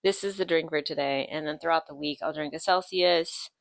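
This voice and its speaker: A woman in her late twenties hosts a relaxed podcast chat, her voice sitting a little low for a woman. She drifts from one tangent to the next, filling pauses with ums. The sound is close and dry. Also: no room sound. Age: 20s. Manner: chatty, rambling, with many ums and tangents. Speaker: podcast host. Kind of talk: casual chat.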